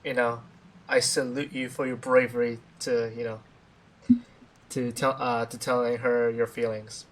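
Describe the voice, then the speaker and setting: A man giving casual live commentary as a game streamer, reacting in a halting, informal, repetitive way. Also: medium pitch, little pitch movement, low-quality recording.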